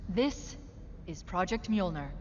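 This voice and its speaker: A woman playing a composed, clinical military scientist with a measured, precise, authoritative delivery in an animated sci-fi film. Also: fairly low pitch, lo-fi sound, a little hiss or hum. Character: composed, clinical female military scientist briefing her soldiers.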